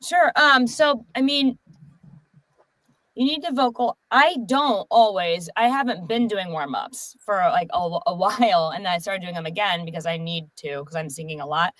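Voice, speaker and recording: A woman's voice: medium pitch, fairly bright tone, no room sound. A young woman, a singer guest on a live streamed interview, talking in a bubbly, enthusiastic way. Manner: bubbly, enthusiastic, quick to laugh. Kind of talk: casual interview chat. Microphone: remote streaming call mic.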